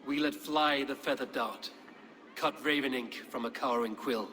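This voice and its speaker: A man acts out a proud Viking warrior from a video game, blunt yet poetic. His delivery is measured and grave, at an ordinary male pitch, with clear ambient noise behind it. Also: sharp tone, natural voice. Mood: solemn. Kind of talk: dramatic video game cutscene acting.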